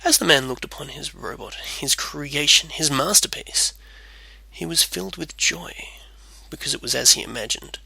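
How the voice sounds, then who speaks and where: A man's voice: fairly high pitch, moderate pace, vocal fry, sharp tone, breathy edge, no room sound, low-quality recording. A man reading a scripted storybook tale as its narrator.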